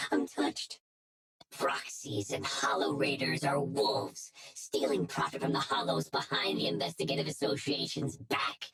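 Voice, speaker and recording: Smug, low-pitched villainous hacker, husky and creaky. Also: female voice, full character voice, poor audio. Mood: mocking. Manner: taunting, deliberate, theatrical. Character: menacing, smug woman hacker blackmailing a rival. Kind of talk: dramatic game cutscene acting.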